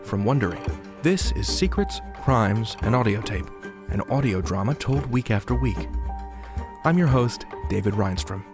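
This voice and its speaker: A man's voice: lowish voice, expressive, moderate pace. A man hosting an audio drama podcast with theatrical scripted narration, speaking directly to the listener. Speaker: podcast host. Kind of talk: scripted narration, story recap. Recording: music bed behind the voice. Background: music.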